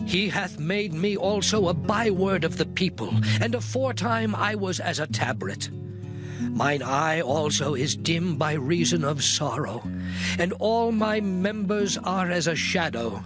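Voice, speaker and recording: A man's voice: sits high, expressive. An actor playing an anguished, suffering man lamenting in a dramatized Bible audio drama, declaiming mournfully in old King James English. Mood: despairing, mournful. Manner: declamatory, mournful, sweeping delivery with long pauses. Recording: music behind the voice. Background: dramatic music.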